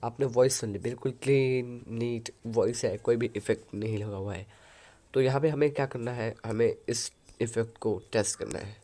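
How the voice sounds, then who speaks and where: A man hosting a software tutorial, explaining an audio editor step by step into a headset mic. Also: mid-range voice, moderate pace. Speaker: tutorial host.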